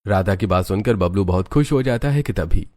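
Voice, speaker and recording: A man's voice: low-pitched, animated, brisk, darkish tone. A man narrating a scripted cartoon story as its storyteller.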